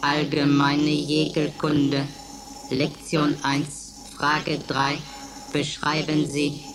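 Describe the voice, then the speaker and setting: A woman's voice: deep voice, completely flat delivery, drawn-out words, noisy background. An actress playing an emotionless female computer in a radio play, announcing in clipped, formulaic phrases. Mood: flat, procedural. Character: emotionless female computer running roll calls and quizzes.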